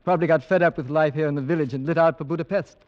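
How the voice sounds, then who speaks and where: Old radio drama, muffled: male actor as wry, talkative young English husband, higher-pitched, doting yet uneasy. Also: fairly even intonation, racing, close to an everyday voice. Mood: dismissive. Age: young adult. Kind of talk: 1940s-50s radio drama acting. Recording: dull old radio recording.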